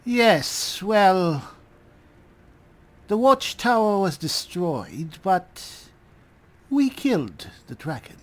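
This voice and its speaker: A man playing a skeptical high elf spellsword, musing and sardonic in a roleplayed video game. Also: very animated, very slow, pauses often, heavily acted. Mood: skeptical, hesitant. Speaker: player roleplaying his game character.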